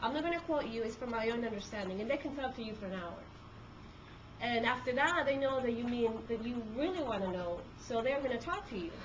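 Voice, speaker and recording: A woman's voice: on the high side, lively intonation, fairly quick. A woman from Peru whose first language is Spanish, speaking with a Peruvian Spanish accent as a court reporter, talking conversationally to a group with many fillers and restarts. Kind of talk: talk to a group. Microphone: handheld camcorder. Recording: dull lo-fi camcorder audio with room noise.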